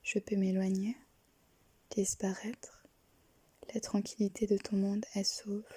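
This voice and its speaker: A woman reads a poem almost entirely in a breathy whisper, her voice dark and mellow. She keeps her delivery slow and even, with little rise and fall. The recording is close and dry, with no room sound. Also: medium pitch, no echo. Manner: slow, hushed and intimate. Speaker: poetry reader. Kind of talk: whispered poetry reading.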